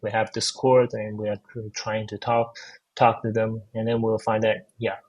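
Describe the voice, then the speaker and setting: A man's voice: on the low side, monotone, normal speed, darkish tone, dull audio. A man with a Chinese accent answering interview questions, hesitant with many fillers and restarts. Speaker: guest, AI researcher. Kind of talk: casual technical interview.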